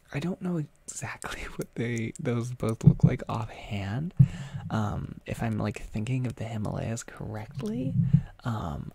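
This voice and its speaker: A young adult with an American accent chats casually on a livestream, reading and answering comments in a soft-spoken, hesitant, rambling way. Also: medium pitch, vocal fry, husky. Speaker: ASMR audio creator livestreaming.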